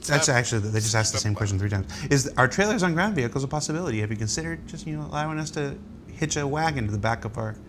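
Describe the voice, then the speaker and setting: A man of medium pitch moderates a live question session as host. He speaks casually, and the livestream audio has clear background noise. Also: expressive, rapid-fire, a bit bright. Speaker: panel show host.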